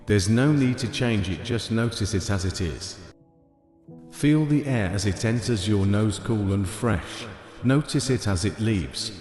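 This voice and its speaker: A man narrating a scripted guided meditation in a gentle, unhurried manner, with short phrases spaced apart. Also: fairly low pitch. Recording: echoey long reverb over ambient music. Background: soft ambient music.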